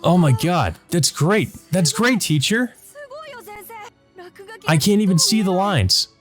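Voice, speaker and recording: A man's voice: high voice, animated, slow pace, faint music behind, voice pushed up. A man voicing an earnest, easily delighted young boy in a visual novel, with an exclaiming manner. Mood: excited relief.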